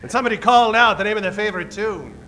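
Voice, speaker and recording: High, bright radio noir narrator played by a man. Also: animated, racing, soft background music. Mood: scene-setting. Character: storytelling narrator of a detective noir parody. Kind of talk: old-time radio scripted narration.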